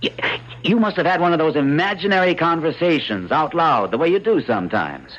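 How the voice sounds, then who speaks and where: A man's voice: a little high, big pitch swings, a little fast, a bit bright. An actor playing a rational, dismissive but reassuring husband and father in an old-time radio drama. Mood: skeptical, firm. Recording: dull lo-fi radio recording, faint music.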